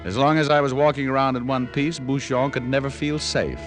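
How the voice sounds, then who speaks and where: An actor playing a cool, wisecracking private detective with an American accent and wry wit, in old-time radio drama style. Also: male voice, medium pitch, brisk, over music, natural voice. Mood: wry, narrating. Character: wisecracking, cool male private detective narrating his case. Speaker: lead actor and narrator.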